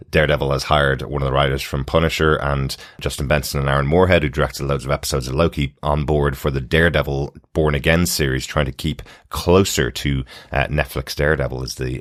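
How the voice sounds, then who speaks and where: A man hosting a podcast, talking casually through entertainment news in a measured, halting explanatory way. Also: a bass voice, fairly bright tone, no echo, clean studio sound. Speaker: podcast host.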